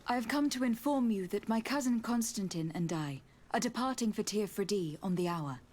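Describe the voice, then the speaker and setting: A woman's voice: mid-range voice, expressive, normal speed, a little mellow, close to an everyday voice. An actress playing a poised young noblewoman diplomat in a fantasy video game, speaking formally, earnestly and politely. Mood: courteous.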